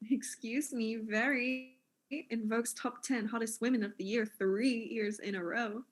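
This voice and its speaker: Casting: woman as vain fashion mogul for comic audio mystery, higher-pitched, theatrical, condescending, flippant. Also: steady tone, measured pace. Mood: indignant, boastful.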